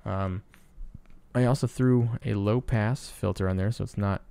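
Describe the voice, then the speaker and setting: A man with a lowish, gravelly voice narrates a casual tutorial. He explains things in a low-key way, recorded dry and close with little room sound. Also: fairly even intonation, normal speed, dark tone, dry recording. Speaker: sound designer, tutorial presenter.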